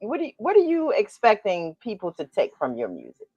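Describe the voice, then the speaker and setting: Woman radio host, lively swooping intonation, lowish voice, slightly dull sound, faint music under. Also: a little fast, no echo. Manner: enthusiastic, emphatic questioner. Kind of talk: interview hosting.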